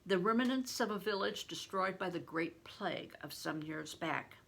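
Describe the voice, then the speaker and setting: An older woman reading a novel aloud in an expressive, deliberate storytelling manner, stressing words for drama. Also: lowish voice, creaky. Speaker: reader, retired reading teacher. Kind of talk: expressive audiobook-style read-aloud of a novel.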